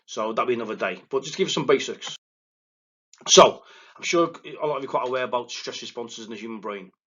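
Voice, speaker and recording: A man with a thick Liverpool accent giving a recorded lecture as a psychotherapist, excitable and quick, often stumbling and restarting his words. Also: medium pitch, husky, dull audio. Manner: fast, excitable delivery with verbal stumbles and restarts. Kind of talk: recorded lecture to counselling students. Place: presenting alone without a live audience.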